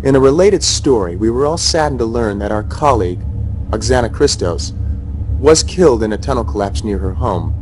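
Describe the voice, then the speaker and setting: An actor as a lively propaganda news anchor. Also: male voice, lowish voice, moderate pace, a little hiss or hum, natural voice, poor audio. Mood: newsy. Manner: smooth, lively broadcast delivery. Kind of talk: newscast acting.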